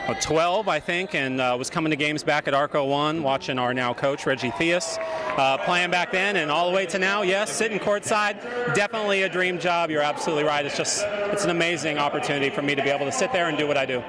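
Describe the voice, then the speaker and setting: A man's voice: a little high, quick pace. A man from California, an arena announcer, talking casually and jokingly in an interview. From Sacramento, California. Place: courtside in a busy sports arena. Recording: clear crowd noise behind.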